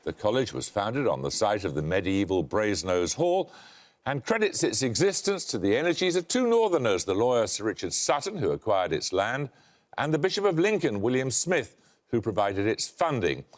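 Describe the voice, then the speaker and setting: Male quiz host, dry wit, animated pitch, studio read. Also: moderate pace. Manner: dry, wry delivery with lively intonation. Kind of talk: scripted quiz presenting. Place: television studio.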